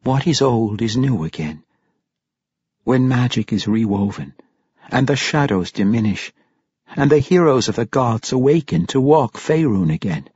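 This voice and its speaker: Guy doing a calm gentle young woman, soft and mystical, husky, dry dull audio. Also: mid-range voice, a little slow, no room sound.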